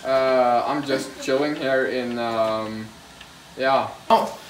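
A man's voice: mid-range voice, steady tone, slow, a little hiss or hum, poor audio. A young man guest-hosting a vlog, talking playfully into a phone held at arm's length in a student dorm, rambling with lots of filler words. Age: young adult. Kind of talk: casual joking vlog chat. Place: student dorm kitchen and rooms, indoors. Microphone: handheld phone at arm's length.

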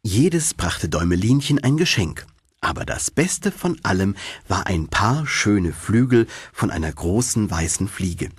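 A low-voiced man reads a fairy tale as a scripted audiobook. His delivery is expressive and very animated in its melody. The voice has a husky grain and is recorded dry and close. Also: a little slow, dry recording. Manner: expressive storytelling. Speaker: audiobook narrator.

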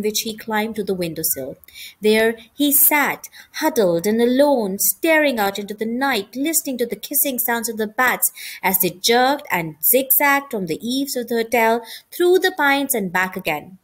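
A woman reading a children's novel aloud as an expressive storybook narrator. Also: a little high. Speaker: storybook reader.

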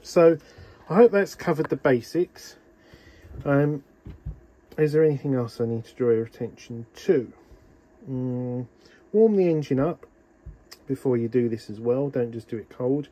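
A man's voice: mid-range voice, expressive, a little slow, dark tone. A man casually explaining an engine oil change on his boat, talking with many ums into a phone held in one hand in a small cabin. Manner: practical, chatty, many ums. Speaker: boat owner showing engine maintenance. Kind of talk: casual how-to narration.

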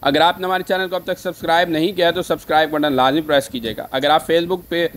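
A man's voice: on the high side, steady tone, fast, a bit bright. A man giving an instructional recipe voice-over as a food vlogger. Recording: faint background noise.

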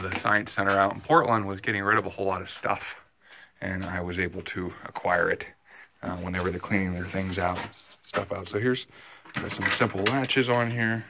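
A man with a very low voice talks through a do-it-yourself project in a home basement workshop. He rambles casually, stopping and restarting with plenty of fillers. He films on a handheld phone, and a faint hiss runs under his voice. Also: gravelly. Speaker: vlogger. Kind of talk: casual show-and-tell vlog. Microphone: handheld phone camera.

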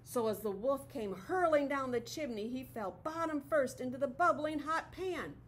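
A woman's voice: high-pitched, expressive, normal speed, a little hiss or hum. A middle-aged woman teacher reading a picture book aloud expressively to children, recorded in a classroom. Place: classroom, indoors.

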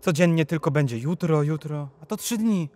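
A man's voice: a little high, moderate pace, darkish tone, natural voice. An actor playing an impulsive, sulky young boy in a radio play, childlike and exclamatory. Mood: sulky, indignant.

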